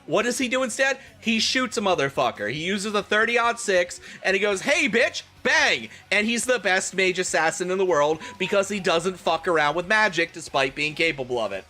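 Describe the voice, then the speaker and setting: A man streams a comic dramatic reading with running commentary over faint music. His voice sits fairly high and is bright and forceful, delivered in an animated, theatrical way. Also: normal speed, hardly any pauses.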